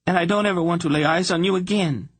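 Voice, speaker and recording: Man reading a spoiled, proud young princess for an audiobook, pitched way up, husky and breathy. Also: normal speed. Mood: haughty, icy. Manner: lofty, then wailing and sobbing.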